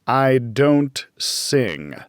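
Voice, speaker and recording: Guy doing a deadpan hardboiled vet from a noir story, terse n evasive, slow, dry close sound. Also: mid-range voice, clear, resonant tone, dry recording. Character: hardboiled, evasive male veteran, drug user, terse.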